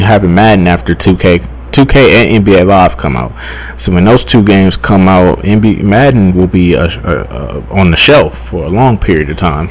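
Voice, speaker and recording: A young man giving casual, rambling gaming commentary, halting, repeating himself and swearing freely. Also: low-pitched, a little hiss or hum. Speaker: gaming YouTuber.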